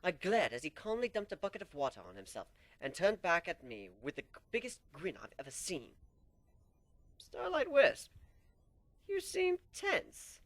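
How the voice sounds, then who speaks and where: Brief: male amateur reader, expressive storybook narration, lively pitch. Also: a little fast, hardly any pauses, dry recording. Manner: expressive, swinging pitch, hushed in tense parts. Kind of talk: dramatic reading of fan fiction.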